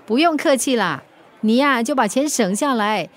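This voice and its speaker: A woman's voice: fairly high pitch, animated, normal speed, lightly acted. A woman acting in a radio drama as a reassuring, bantering big-sister friend. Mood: teasing, reassuring. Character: caring, teasing big-sister friend of the couple.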